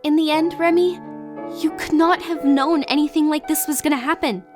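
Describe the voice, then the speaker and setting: A woman playing a gentle, earnest young friend who speaks softly and reassuringly in an audio drama. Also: sits high, steady tone, moderate pace, music in the background, natural voice. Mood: earnest comfort.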